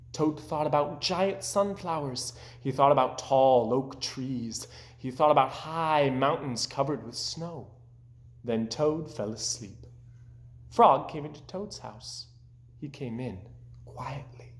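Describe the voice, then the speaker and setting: A man reading a children's story aloud as narrator, expressive, gentle and deliberate. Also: mid-range voice. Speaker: storybook reader.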